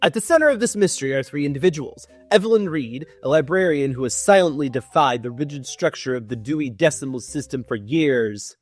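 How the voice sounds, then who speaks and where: A man with a somewhat high voice performs a theatrical reading as a comedy host. He shifts between mock-solemn narration and dry, sarcastic commentary. Music plays softly behind his voice. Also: expressive, fairly quick, few pauses, no room sound. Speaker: host, writing coach. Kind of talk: comedic dramatic book reading with dry asides.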